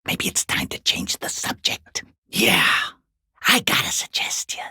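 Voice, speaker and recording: A man playing a mocking, sinister unseen watcher in a video game, in a hoarse whispering rasp with taunting, drawn-out phrasing. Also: bright, forceful tone, dry recording, clean studio sound. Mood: bored, needling. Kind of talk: video game character voice lines.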